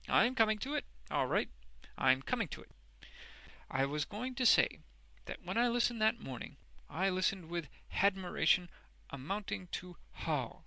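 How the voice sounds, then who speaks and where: A man's voice: sits high, animated, moderate pace, gravelly, bright, forceful tone, no echo, pitched above the performer's own voice, heavily acted, poor audio. A man voicing a hearty, uneducated old working man in a storybook, blunt and exuberant, with emphatic, ungrammatical speech. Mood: admiring.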